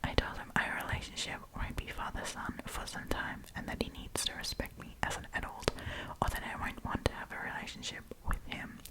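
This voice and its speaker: Woman whispering softly, ASMR storytime. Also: a little fast, hardly any pauses, poor audio. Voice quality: breathy unvoiced whisper. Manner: chatty, informal asides. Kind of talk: whispered ASMR, reading online stories aloud with casual commentary. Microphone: close propped-up microphone.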